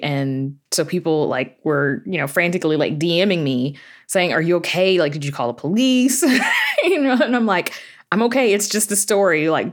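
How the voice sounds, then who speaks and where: A woman chatting informally as an interview guest, telling anecdotes in a conversational way with quoted asides. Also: on the low side. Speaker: podcast guest, horror fiction writer and audio drama creator.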